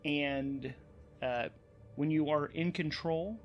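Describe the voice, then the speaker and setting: A man's voice: on the high side, takes it slowly, lo-fi sound, a little hiss or hum. A man hosting and narrating a solo tabletop role-playing game, thinking aloud as he goes. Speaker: host, narrator and game master. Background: background music.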